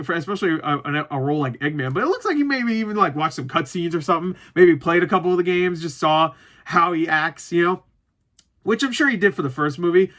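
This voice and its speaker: A man with a notably high, bright voice gives an off-the-cuff movie review. He rambles informally, jokes around and swears freely. He leans on repetition to drive his opinions home.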